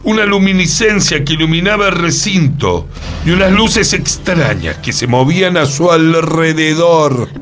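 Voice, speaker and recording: A man playing a dramatic, halting narrator of an eerie encounter in a radio comedy drama. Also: fairly high pitch, expressive, fairly bright tone, soft background music. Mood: suspenseful, grave. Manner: theatrical, suspenseful, halting.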